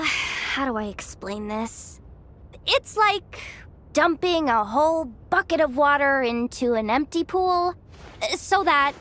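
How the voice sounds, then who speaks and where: A woman playing a young, anxious, chatty tech tinkerer in an anime game, expressive and nervously self-deprecating. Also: high-pitched, slight background noise, exaggerated voice. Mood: explaining. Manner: nervous, expressive muttering to herself.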